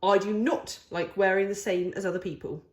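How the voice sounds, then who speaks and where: A woman's voice: medium pitch, steady tone, brisk, reverberant, natural voice. A woman voicing a fussy, imaginative little girl in a storybook, with emphatic, wordy, childlike phrasing. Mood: defiant.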